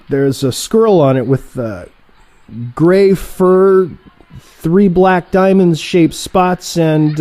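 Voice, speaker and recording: A man's voice: fairly high pitch, darkish tone. An actor playing a cocky younger male assassin who banters with teasing sarcasm in a comic audio play.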